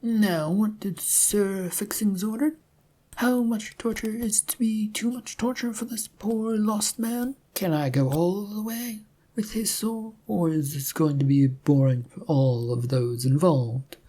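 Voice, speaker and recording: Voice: medium pitch, unhurried, mellow, pitched above the performer's own voice, natural voice. A narrator voicing a sadistic male torturer in a story, chatty and mock-polite as he taunts his victim. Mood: eager, impatient.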